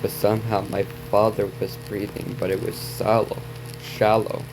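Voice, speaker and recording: Male reaction host, lower register, halting read-aloud with stumbles and casual asides, clearly noisy hissing recording. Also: little pitch movement. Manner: halting reading, stumbling over words and repeating them. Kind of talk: reading on-screen story text aloud with asides.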